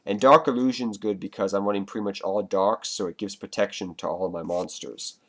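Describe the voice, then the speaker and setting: Congested low-voiced man explaining cards. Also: steady tone, a little fast, fairly bright tone. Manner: chatty, loose, addresses viewers as guys. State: congested with a cold. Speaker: card game vlogger. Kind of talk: casual deck explanation to viewers.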